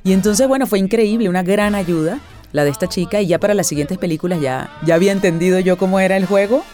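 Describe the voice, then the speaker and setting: A woman's voice: mid-range voice, fast talker, mellow, soft background music. Reflective middle-aged Venezuelan woman. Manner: reflective, with many restarts and tag questions. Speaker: voice actress. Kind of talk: interview answers.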